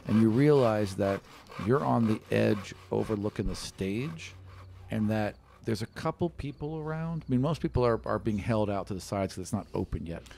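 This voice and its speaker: A man's voice: lowish voice, moderate pace, gravelly, dark tone, slight background noise. A man narrating a tabletop role-playing game as game master, improvising descriptions in a conversational way.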